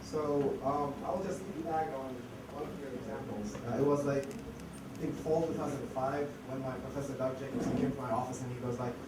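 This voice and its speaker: A man giving a chatty conference talk with anecdotes and rhetorical questions, his voice going hoarse. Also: medium pitch, steady tone, fairly quick. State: losing his voice. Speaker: conference speaker on information security. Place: lecture hall. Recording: echoey hall, distant mic, noticeable noise.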